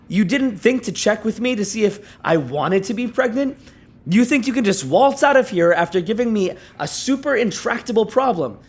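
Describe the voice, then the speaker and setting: A man's voice: extremely high pitch, husky, full character voice. An actor playing an indignant, rattled young male grad student who protests with exasperation in a naturalistic audio drama.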